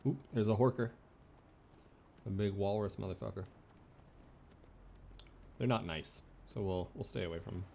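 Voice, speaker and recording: A man's voice: on the low side, moderate pace, creaky, long pauses, warm tone, slight background noise. A man streaming a video game with casual, playful and sometimes sweary commentary.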